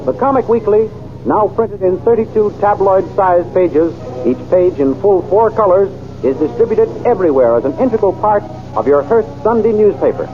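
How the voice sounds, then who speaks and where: A man narrating an old radio adventure serial and reading its sponsor copy in a dramatic, brisk announcing manner, on a muffled old radio transcription. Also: sits high, animated, music in the background.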